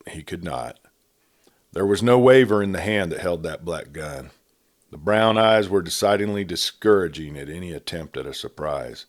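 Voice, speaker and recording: A man reading a Western novel aloud as a storyteller, with a measured, steady delivery. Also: low-pitched. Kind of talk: audiobook narration of a Western.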